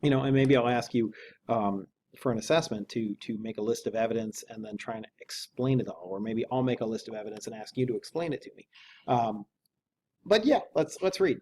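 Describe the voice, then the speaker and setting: A man with a medium-pitched voice speaks as a teacher, moving between literary narration and informal explanation. Noise is clearly audible behind him. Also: a little fast, darkish tone. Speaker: English teacher. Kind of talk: reading a novel aloud with informal lesson commentary.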